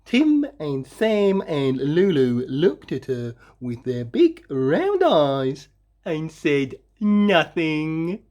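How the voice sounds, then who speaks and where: Slow, low-voiced woman reading a storybook. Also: sing-song, dark tone, lo-fi sound. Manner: dramatic emphasis with drawn-out words. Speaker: storybook reader. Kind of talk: expressive children's read-aloud narration.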